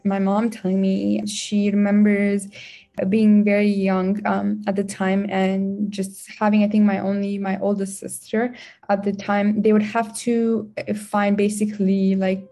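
Young woman, soft and even. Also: mid-range voice, measured pace. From Afghan family, born in Pakistan, grew up there and in Canada. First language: not English. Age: 20s. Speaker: interview guest. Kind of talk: personal storytelling in a podcast interview.

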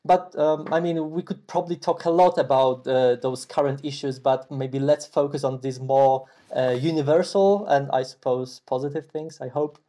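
A man hosts an interview and asks his guest questions in a friendly way. His voice is mellow and dark in tone. He hesitates often and leans on fillers while he finds his words. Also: mid-range voice, fairly even intonation, moderate pace, few pauses. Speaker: interviewer, podcast host. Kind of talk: casual interview.

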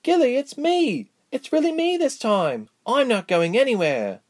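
Man as a high, spirited young adventurer woman. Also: huge pitch swings, brisk, no room sound, studio quality, heavily acted. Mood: excited.

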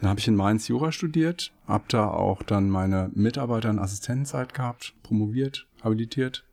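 A man's voice: fairly low pitch, brisk, vocal fry, a little mellow. A middle-aged man from Hesse, a law professor and guest, chatting easily and telling stories with the odd laugh. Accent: standard German with faint Hessian. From Hesse, Germany. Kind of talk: relaxed podcast conversation.

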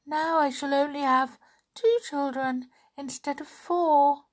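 A woman voicing a kind, gentle female thrush who talks in a sing-song and repeats her words. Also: high voice, pitched above the performer's own voice, exaggerated voice.

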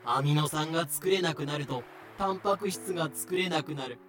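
A man's voice: on the high side, normal speed, fairly bright tone. Matter-of-fact male narrator over music. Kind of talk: scripted explanatory narration. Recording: music behind the voice.

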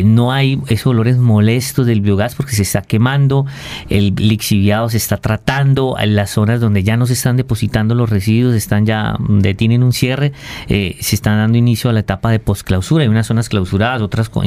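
A man's voice: on the low side, steady tone, fairly quick, a little mellow. A Colombian man explaining technical matters informally as an interview guest, hesitating and repeating words as he goes. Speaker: interview guest, waste treatment expert.

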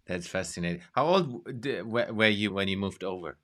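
A man's voice: on the low side, lively intonation, fairly quick, a bit bright. A man hosting a podcast interview over a video call, asking questions in a friendly way and adding short affirmations. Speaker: podcast host.

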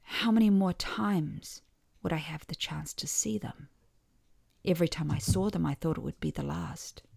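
Low-voiced woman reading a wry story. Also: normal speed, vocal fry, a little mellow, breathy edge, dry recording, clean studio sound. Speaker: author reading own short story. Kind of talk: scripted first-person story narration.

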